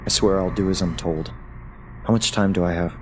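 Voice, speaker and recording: An actor in an audio play playing a calm, tender, wistful husband who is a dead spy, speaking in a reflective, hesitant way. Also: male voice, low-pitched, fairly quick, noisy background, natural voice. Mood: resigned. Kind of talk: audio drama dialogue.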